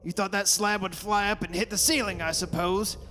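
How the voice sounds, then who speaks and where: A man's voice: high-pitched, fairly even intonation, a little fast, pushed and bright, slight background noise. A man playing a stubborn, scoffing father in a melodramatic radio horror play, theatrical and blustering. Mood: scornful. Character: stubborn, scoffing father who mocks an old legend. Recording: long hall reverb.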